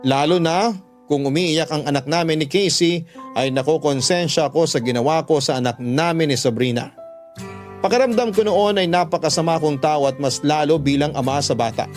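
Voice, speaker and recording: Man, Filipino accent, fairly high pitch, sorrowful dramatic narration, letter-reading style, light music bed. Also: a little mellow. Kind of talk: first-person dramatic confessional narration.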